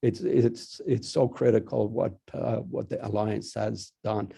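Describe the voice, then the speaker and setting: An older man whose first language is Persian, a college dean giving a halting formal address with many restarts. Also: on the low side, fairly even intonation, gravelly, dark tone, slightly rough.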